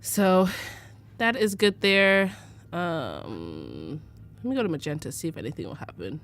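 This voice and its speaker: A woman's voice: deep voice, big pitch swings, unhurried, a bit bright, faint music behind. A young American woman from Arizona giving a casual live photo-editing tutorial, chatty and full of fillers, laughing easily. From United States (Arizona). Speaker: livestream presenter teaching photo editing.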